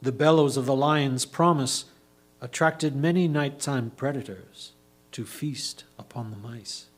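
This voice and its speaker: A man tells a story in a reader's theatre style. His delivery is slow and deliberate, with theatrical stress on key words, in a medium-pitched voice.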